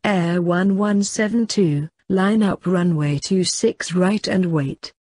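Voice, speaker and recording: Slow, lowish-voiced woman giving controller instructions. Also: a little mellow, dry recording. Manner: measured, formulaic. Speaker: air traffic controller in an English lesson. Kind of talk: scripted air traffic control phraseology.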